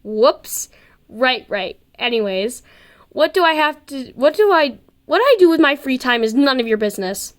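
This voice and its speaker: A woman's voice: high-pitched, expressive, moderate pace. A woman voicing a brash, suspicious eleven-year-old girl in an amateur story reading, blunt and dramatic. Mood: defensive. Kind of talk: amateur audiobook character voice.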